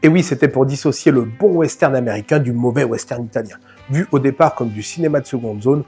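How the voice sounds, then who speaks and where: A man's voice: mid-range voice, brisk, a little mellow, husky, soft background music. A man hosting a film channel, talking through a classic film in a casual, informal way, joking at his own expense. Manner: chatty, addresses viewer informally, self-mocking asides. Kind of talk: casual film analysis to camera.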